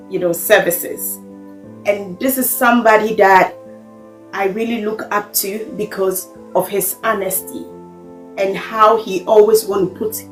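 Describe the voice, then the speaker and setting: A woman hosting an interview, speaking effusively and filling pauses with "you know". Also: medium pitch, echoey room. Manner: effusive, warm praise, fillers like "you know". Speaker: host. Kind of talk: podcast interview host.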